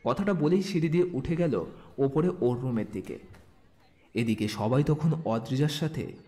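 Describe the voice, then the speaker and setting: A man's voice: mid-range voice, normal speed, mellow. A man narrating a scripted audio story.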